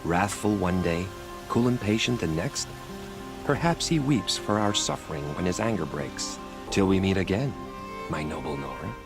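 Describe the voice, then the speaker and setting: An actor playing a romantic former adventurer in a video game, speaking in a flowery, poetic, theatrical way. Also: male voice, low-pitched, animated, moderate pace, gravelly, over music. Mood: musing.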